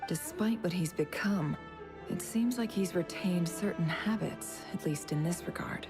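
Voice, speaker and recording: Thoughtful female investigator, lower-pitched, calm, measured, musing to herself, video game dialogue, faint music. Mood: analytical.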